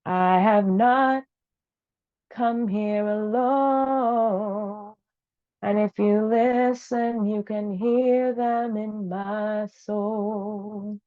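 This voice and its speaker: A woman singing a slow, repetitive, gentle chant like a lullaby. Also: medium pitch, fairly even intonation, no echo. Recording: dry, tinny phone-like with music.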